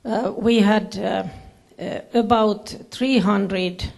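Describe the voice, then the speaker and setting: A woman with a Finnish accent giving a presentation in English as a second language, haltingly searching for her words. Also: mid-range voice, steady tone, creaky, darkish tone. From Finland. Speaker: conference speaker, education official. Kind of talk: presentation to an audience with slides.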